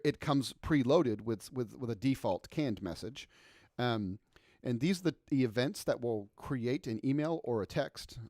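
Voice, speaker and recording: A man giving a casual software walkthrough as a product trainer, with lots of ums and self-corrections. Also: mid-range voice, no room sound, clean studio sound. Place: voice-over on a screen recording.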